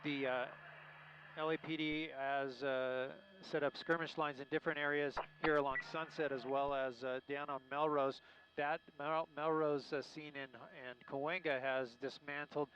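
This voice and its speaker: A man working as a helicopter news reporter, giving live unscripted commentary in a halting, searching way. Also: a little high, level tone, fairly bright tone. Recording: muffled lo-fi live broadcast with faint noise.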